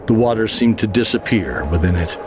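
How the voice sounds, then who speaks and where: A man's voice: on the low side, lively intonation, a little fast, music in the background. A man reading scripted narration for a fantasy adventure story.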